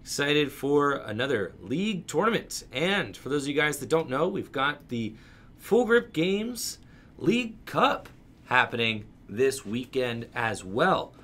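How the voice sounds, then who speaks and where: A man with a raspy voice giving upbeat live commentary on a card game tournament and hosting a stream. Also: medium pitch, big pitch swings, unhurried, fairly bright tone. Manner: upbeat, hyped streamer energy. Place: small game store studio.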